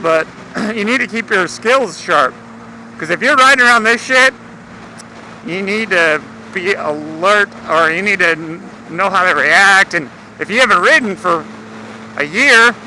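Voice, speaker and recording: Young male American motovlogger, higher-pitched voice, casual and slangy with some swearing, recorded outdoors amid clear engine and road noise. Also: pushed and bright, rough recording. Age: young adult. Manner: laid-back, slangy, swearing. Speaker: motorcycle vlogger. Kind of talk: casual rambling vlog chat. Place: outdoors, riding a motorcycle. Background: engine and vehicle noise.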